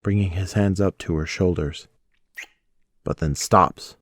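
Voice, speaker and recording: A man's voice: low voice, steady tone, measured pace, dry recording, clean studio sound. A man reading scripted narration for an audio drama.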